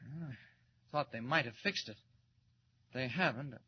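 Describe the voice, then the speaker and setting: A man's voice: mid-range voice, a little slow, husky. A man playing a mild-mannered reporter with a secret heroic side in a 1940s radio serial, often hesitant and flustered. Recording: dull old radio transcription with hiss.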